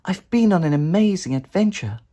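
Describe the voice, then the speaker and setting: A man's voice: high-pitched, lively intonation, a little fast, mellow, pitched above the performer's own voice. A man reading a storybook aloud, voicing a cheerful, brave young girl in a raised, eager voice.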